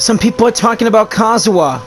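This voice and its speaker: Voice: mid-range voice, lively intonation, fast. A gameplay narrator reading on-screen dialogue and adding short comments. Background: faint music.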